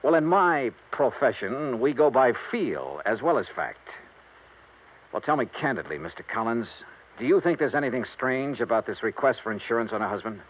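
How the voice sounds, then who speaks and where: A man's voice: medium pitch, lively intonation, moderate pace, natural voice. A man with an American accent playing a shrewd, skeptical insurance investigator in old-time radio noir, with clipped, probing delivery. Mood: pressing, skeptical. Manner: clipped, probing, hard-boiled questioning. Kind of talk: old-time radio noir acting with first-person narration. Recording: muffled, noisy vintage radio recording.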